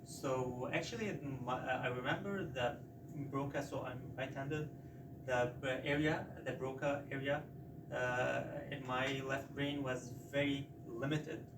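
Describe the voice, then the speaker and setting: A man from Lebanon, Arabic his first tongue, speaks haltingly, filling pauses with "uh" and restarting. His level, flat delivery carries from a distance across an echoey lecture room. There is steady noise underneath. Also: medium pitch. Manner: halting, many "uh" fillers and restarts. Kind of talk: conversational account in a seminar. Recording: roomy, distant from the mic, noisy.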